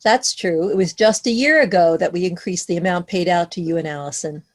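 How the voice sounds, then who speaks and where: A woman's voice: fairly low pitch, a little fast, close to an everyday voice. Matter-of-fact woman, acting a sensible aunt. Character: sensible, matter-of-fact aunt who raised her nieces. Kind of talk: musical table read, scripted dialogue. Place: recorded online.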